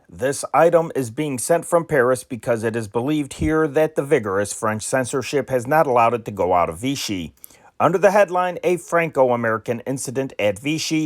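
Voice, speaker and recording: A man reading old newspaper dispatches aloud as an amateur news reader, measured, sometimes correcting himself and slowing at phrase ends. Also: medium pitch.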